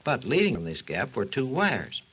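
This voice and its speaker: A man's voice: medium pitch, wildly swooping pitch, normal speed, a bit bright, exaggerated voice. A man playing a learned, patient scientist who explains history in a scripted 1940s educational radio program. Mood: storytelling. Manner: didactic, storytelling delivery. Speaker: expert guest on a science program. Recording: husky-sounding 1940s radio recording.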